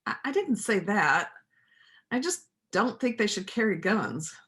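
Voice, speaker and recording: A woman in an amateur reader's theater play, acting a steadfast, principled small-town doctor who is quietly defiant. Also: medium pitch, sing-song, a little slow.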